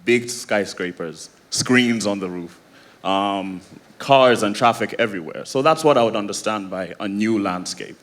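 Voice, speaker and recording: A man's voice: on the low side, unhurried, fairly bright tone. A man from Sierra Leone emceeing a church conference, upbeat and addressing the audience directly.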